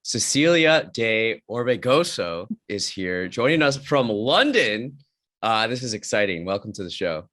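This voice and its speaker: A male podcast host with a fairly low voice that rises and falls a great deal as he talks. He keeps the talk relaxed and friendly, asking his guest simple open questions. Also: unhurried. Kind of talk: casual interview hosting.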